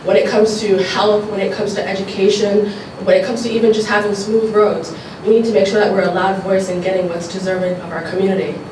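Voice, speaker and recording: A woman's voice: mid-range voice, level tone, fairly quick. A woman legislator with a stuffy nose, giving casual remarks at a community forum, with many fillers. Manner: informal, filler-heavy, repeats phrases. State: stuffy from allergies. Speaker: assemblywoman. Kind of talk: public forum speech. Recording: echoey hall, distant mic, noisy.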